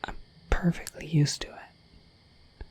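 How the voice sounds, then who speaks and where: A woman voicing a shy, affectionate, dorky dragon in a bashful, hesitant breathy whisper for an ASMR roleplay. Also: slow, darkish tone, natural voice. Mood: hesitant, considerate. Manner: bashful, hesitant, apologetic, rambling.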